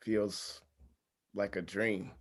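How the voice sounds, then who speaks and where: A man playing an agreeable, quietly unsure groom in a screenplay table read, halting and sincere. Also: fairly low pitch, little pitch movement, crowd noise. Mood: dreamy gratitude.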